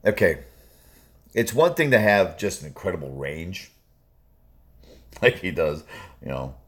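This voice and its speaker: Guy with a super deep baritone doing a music reaction, rambly, lots of ums, really leans on his points. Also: very animated, slow pace. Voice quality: low baritone voice. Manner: rambling and emphatic, many fillers. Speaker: music reaction video host. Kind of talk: casual reaction commentary.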